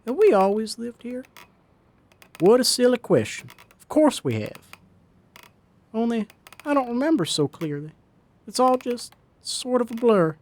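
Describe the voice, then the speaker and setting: A man voicing an ancient, forgetful old woman, gentle and musing, in an audio story. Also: very high-pitched, big pitch swings, measured pace, long pauses, pitched above the performer's own voice, heavily acted. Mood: wistful.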